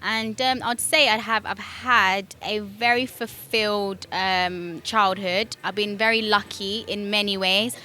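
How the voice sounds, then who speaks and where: Bright-voiced chatty young woman, British accent. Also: mid-range voice, steady tone, unhurried, hardly any pauses. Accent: British English. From Kenya. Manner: chatty, many ums, laughs easily. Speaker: guest. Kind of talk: casual interview storytelling.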